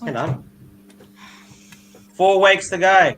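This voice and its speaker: A man's voice: high-pitched, slow, a bit bright, a little hiss or hum. A man in his early thirties chatting casually and teasing the others on a group livestream. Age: early 30s. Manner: bantering, teasing. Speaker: guest modeler on a livestream. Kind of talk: casual chat on a group call.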